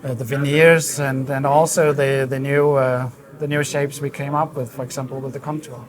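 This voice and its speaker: A man explaining his work as a design director in an interview, in a halting, thoughtful way. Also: medium pitch, little pitch movement. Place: busy hi-fi trade show hall. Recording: crowd chatter around the voice. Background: crowd chatter.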